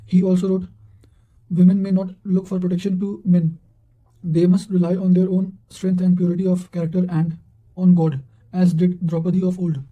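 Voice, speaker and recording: A man with a high-pitched voice reads quotations and notes aloud. His tone is very dark and mellow, and his intonation stays quite even. The delivery is formal and a little halting, like someone working through a written text. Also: dull audio. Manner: formal, halting reading with occasional stumbles. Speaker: narrator reading study notes.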